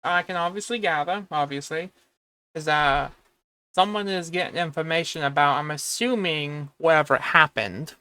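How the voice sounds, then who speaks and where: A video host giving casual reaction commentary, thinking aloud in a halting way. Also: mid-range voice, bright, forceful tone, clear, resonant tone.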